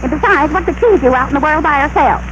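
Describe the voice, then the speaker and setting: A woman's voice: sits high, lively intonation, quick pace. A woman playing a long-suffering farm wife and mother in a 1930s radio comedy sketch, with dry comic comebacks on a muffled broadcast with hiss.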